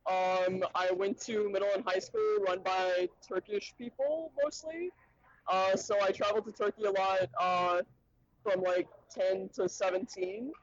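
A man's voice: high-pitched, steady tone, a little slow. A young man from Arkansas, a podcast guest speaking thoughtfully and academically, with frequent ums and uhs. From Little Rock, Arkansas, USA. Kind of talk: casual interview talk. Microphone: remote call from a conference. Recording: dull lo-fi sound, dry.

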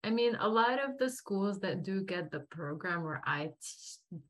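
A woman's voice: mid-range voice, animated, unhurried. A woman in her thirties from Lebanon, chatting casually as an interview guest and often laughing as she talks. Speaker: guest, performing artist and acting teacher.

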